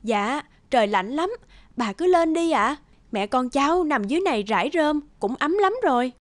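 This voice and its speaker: An actress playing a kind young peasant mother in an animated folk tale, gentle and respectful in manner. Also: high-pitched, normal speed, a little hiss or hum. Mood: gently insistent.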